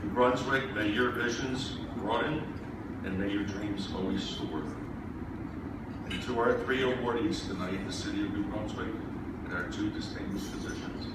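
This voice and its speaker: A man whose first language is Polish delivers a stately gala speech, building his phrases like an orator and slipping in wry jokes. He is caught from a distance in a very echoey hotel banquet hall, with loud room ambience all around. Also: fairly low pitch, normal speed, very noisy. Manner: oratorical, with wry joking asides. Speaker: gala speaker. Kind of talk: formal after-dinner speech.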